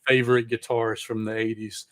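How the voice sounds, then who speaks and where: A man's voice: on the low side, little pitch movement, fairly quick, a bit bright. A middle-aged man hosting an interview as a casual chat, informal and rambling, with the odd swear word. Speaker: podcast host.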